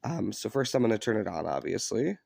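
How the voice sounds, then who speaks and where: Low, creaky-voiced man chatting casually. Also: sing-song, fairly quick, a little mellow, slight background noise. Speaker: hobbyist video presenter. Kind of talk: casual unscripted product demonstration. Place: indoors in an upstairs room.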